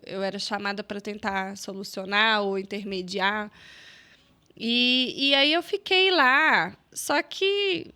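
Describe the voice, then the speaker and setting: Bright-voiced Brazilian woman telling stories. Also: mid-range voice. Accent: Brazilian Portuguese. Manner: animated, many fillers and quoted self-talk. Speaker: guest. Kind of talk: casual storytelling interview.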